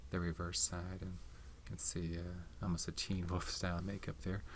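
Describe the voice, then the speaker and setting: A soft-spoken man chatting casually in an ASMR style, hesitant, rambling and unhurried. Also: low-pitched, flat delivery, a little hiss or hum. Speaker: video host showing movie collection. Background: tapping and handling of movie cases.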